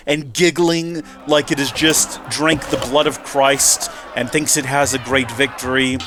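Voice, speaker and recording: A man's voice: high-pitched, fairly bright tone. A man hosting a let's play with casual, rambling commentary, his nose stuffy and a little nasal. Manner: chatty, rambling asides.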